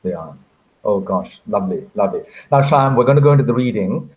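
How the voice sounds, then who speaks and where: A man hosting a conversational online event in a chatty, halting way, repeating phrases as he goes. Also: lowish voice, lively intonation, a little mellow, poor audio. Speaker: moderator, host. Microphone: online video call.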